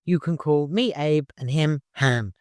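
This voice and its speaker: A man's voice: a little high, measured pace, no echo, studio quality, pitched above the performer's own voice, close to an everyday voice. Man voicing easygoing, eager young warrior brothers. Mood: good-natured. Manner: eager, interrupting, good-natured.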